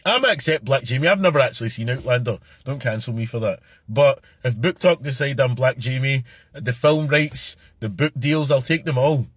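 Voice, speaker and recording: A man's voice: medium pitch, moderate pace, slightly rough, no room sound, clean studio sound. A Scottish man in his forties with a Glasgow accent, chatting casually and joking to camera as a social video creator. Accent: Glaswegian Scottish. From Scotland. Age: 40s. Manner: joking, chatty, laughs between lines. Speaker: TikTok creator.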